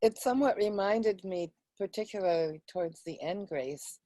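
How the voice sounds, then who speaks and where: A woman's voice: mid-range voice, normal speed, dark tone, dry recording. A woman chatting casually in an online group meeting, speaking in an encouraging and personal way. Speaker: discussion participant.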